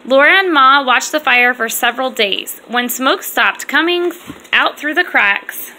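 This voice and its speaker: A woman, a mother, reading aloud and chatting with young children in an expressive, encouraging way and simple phrasing. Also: high-pitched, a little slow, rough recording. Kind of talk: read-aloud storytelling mixed with casual chat with children.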